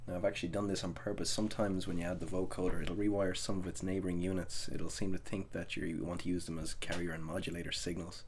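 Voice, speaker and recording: A man narrating a software tutorial as the instructor, explaining each step methodically. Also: sits low, level tone, fast, few pauses, slight background noise.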